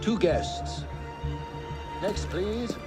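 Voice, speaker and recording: A man's voice: high-pitched, animated, very slow, loud music behind the voice, heavily acted. A man playing a curt, pompous doorman who announces guests formally in a video game. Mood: stern, officious. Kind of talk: video game cutscene acting.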